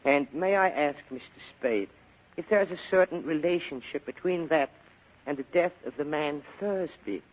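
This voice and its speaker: Man acting a fussy foreign gentleman crook, tinny recording. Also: on the high side, normal speed, close to an everyday voice. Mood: courteous, careful. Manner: formal, over-courteous, hesitant. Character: polite, fussy, perfumed foreign male crook. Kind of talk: 1940s radio acting. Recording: muffled old radio broadcast, phone-like.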